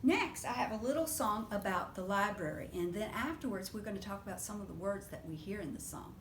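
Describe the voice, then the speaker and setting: A woman's voice: medium pitch, animated, brisk. A woman leading a preschool storytime, reading aloud and adding simple explanations and questions for young children. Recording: roomy echo, faint background noise.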